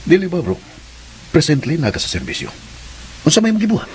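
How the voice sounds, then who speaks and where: An actor playing an earnest, indignant idealistic former soldier in a radio drama, with forceful, preachy questioning and a Filipino accent. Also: male voice, a little high, wildly swooping pitch, fast, very noisy. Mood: insistent.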